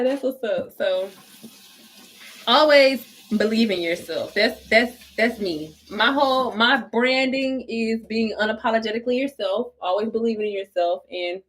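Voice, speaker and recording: Profane, chatty woman livestreaming from home. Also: fairly high pitch. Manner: blunt, profane, chatty, repeats herself. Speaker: livestream vlogger. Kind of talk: casual chat while doing her hair. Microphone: phone livestream.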